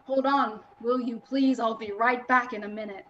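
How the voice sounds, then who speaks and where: A woman playing an excitable radio reporter, an awed and alarmed eyewitness, in a live reading of a 1930s radio drama, with dramatic, suspenseful pauses. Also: a little high, lo-fi sound, a little hiss or hum, lightly acted. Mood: shaken, urgent.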